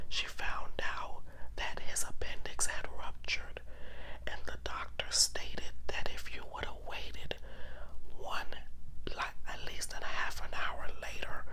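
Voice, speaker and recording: A storyteller of unclear sex whispering a true crime story for ASMR, in a hushed, deliberate, dramatic way. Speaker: ASMR storyteller, channel host.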